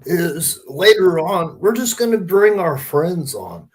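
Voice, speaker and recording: A man's voice: high voice, expressive, takes it slowly. A man hosting a podcast in casual chat, telling anecdotes in an informal, emphatic, sweary way. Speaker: podcast host.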